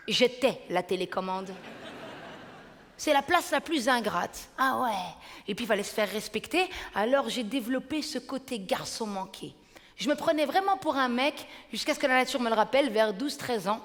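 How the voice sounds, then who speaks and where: An energetic woman in her thirties, from Belgium, performing stand-up on a large stage. She talks in a punchy way and slips into the voices of her relatives. Her voice is high, and the room adds a long reverb. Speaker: stand-up comedian. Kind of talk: stand-up comedy.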